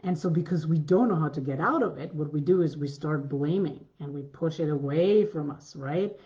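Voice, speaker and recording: Deep-voiced woman coaching, earnest. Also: moderate pace, dark tone, lo-fi sound. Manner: earnest, repetitive, many restarts. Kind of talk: masterclass talk.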